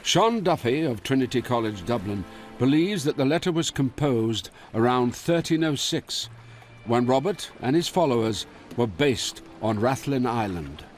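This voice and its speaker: A man's voice: medium pitch, expressive, measured pace, faint music behind. A man narrating a documentary in a scripted, inquisitive way.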